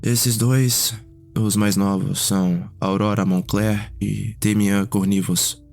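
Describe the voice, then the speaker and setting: A man playing a curious, reflective vampire noble in an ASMR roleplay, telling his story in an unhurried way with pauses. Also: fairly low pitch, level tone, vocal fry, darkish tone. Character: Cedric, curious, reflective male vampire noble, younger brother. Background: music.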